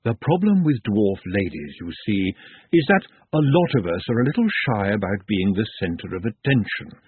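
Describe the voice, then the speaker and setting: A man's voice: on the low side, very animated, normal speed. A man voicing a shrewd, grand female dwarf who runs fashion shows in a storybook, confiding and condescending. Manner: smooth-talking, confiding, condescending. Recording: muffled phone-like narrow band.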